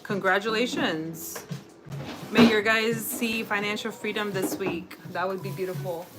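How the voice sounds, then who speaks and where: A woman's voice: medium pitch, measured pace, poor audio. An American woman hosting a casual livestream, chatting with viewers as she reads out their comments and replies. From United States. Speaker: livestream host. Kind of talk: casual chat with live viewers. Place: home kitchen while cooking. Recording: music playing behind the voice. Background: music.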